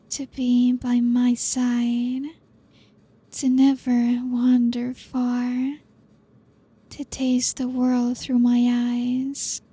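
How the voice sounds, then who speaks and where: A young woman voicing a possessive, ancient female vampire in an ASMR roleplay, breathy and whispery, teasing slowly with soft laughs. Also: sits high, heavily acted.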